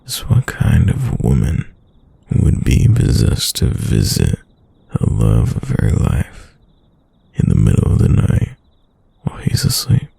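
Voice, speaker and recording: A man acts out a devoted boyfriend murmuring to a sleeping lover in roleplay ASMR. His very low voice is breathy and husky, drifting slowly through tender, musing thoughts. It sits close and dry, intimate and soft. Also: wildly swooping pitch, no echo, exaggerated voice. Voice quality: deep, gravelly, breathy.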